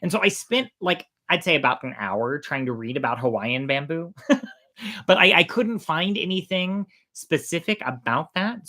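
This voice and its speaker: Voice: medium pitch, fairly bright tone. A storyteller hosting a show, telling folk tales informally in a playful, conversational way.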